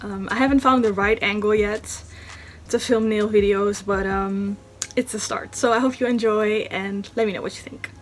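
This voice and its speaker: A woman's voice: mid-range voice, steady tone, normal speed, a little hiss or hum. A young woman from the Netherlands with a Dutch accent, chatting casually through a nail tutorial voice-over, with many ums. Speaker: beauty vlogger.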